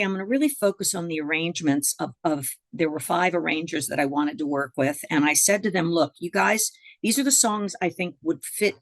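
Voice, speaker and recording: A woman's voice: fairly low pitch, no room sound. A woman jazz singer and songwriter, a guest in a conversational interview, telling rambling stories with many fillers.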